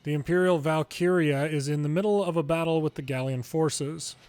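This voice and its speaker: A man's voice: a little high, a little fast, a little mellow, smooth, dry recording. A man reading video game dialogue aloud dramatically, acting out each character's lines in turn.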